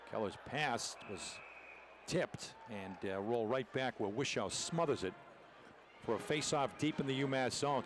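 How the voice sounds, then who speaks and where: A man calling live hockey play-by-play as a commentator, describing the action in short, clipped phrases. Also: on the low side. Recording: noisy arena broadcast, rink ambience behind.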